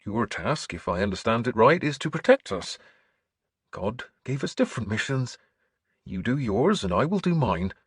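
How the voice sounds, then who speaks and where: A man voicing a gentle, earnest scholarly bishop in an audiobook, with mild manners and a preacher's fervour. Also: medium pitch, big pitch swings, moderate pace, husky, no room sound, clean studio sound, pitched above the performer's own voice. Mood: gentle, sincere. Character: gentle, earnest scholarly priest turned bishop, quietly sly.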